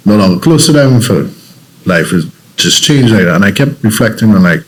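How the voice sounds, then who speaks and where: A man with a Trinidadian accent and a very low voice speaks as an interview guest. He tells his story in a reflective, earnest way, often restarting his sentences as he thinks aloud. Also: lively intonation, brisk, a little hiss or hum. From Trinidad and Tobago.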